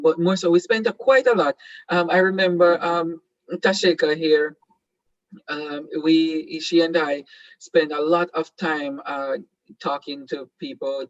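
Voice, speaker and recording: A Jamaican man whose first language is Patois hosts an online seminar in a slightly high voice. He talks hesitantly, full of ums and restarts. The sound is dry and a little muffled. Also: no room sound. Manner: hesitant, many fillers and restarts. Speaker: host.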